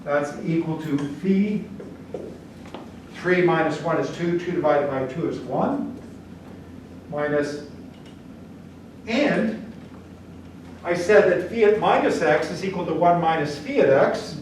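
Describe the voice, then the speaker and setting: Older german-born guy teaching stats, bit high voice, far off in a boomy classroom with noise. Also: animated, slow pace, long pauses. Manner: casual and blunt, checks in with "okay?". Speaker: statistics lecturer. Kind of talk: classroom lecture at a whiteboard. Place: large classroom with whiteboard. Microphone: camera at the back of the room. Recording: echoey classroom from far off, noisy.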